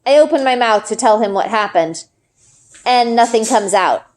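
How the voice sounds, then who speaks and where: A woman narrator giving an expressive read-aloud of a children's novel in the first person. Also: a little high, moderate pace.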